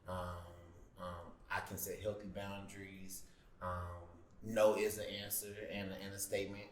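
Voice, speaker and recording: A man sharing personal reflections in a group discussion, thoughtful and measured, with pauses. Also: deep voice, little pitch movement. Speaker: panelist. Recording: echoey room, distant mic, faint noise.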